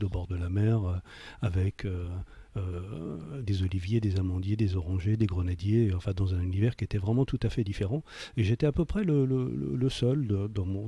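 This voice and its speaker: Older guy in his 60s being interviewed, really soft dark voice, lowish, keeps searching for words. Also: slightly rough, no echo. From Paris, France. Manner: hesitant, searching for words, with repeated words. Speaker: interview guest, leather craftsman. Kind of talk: reflective autobiographical interview talk.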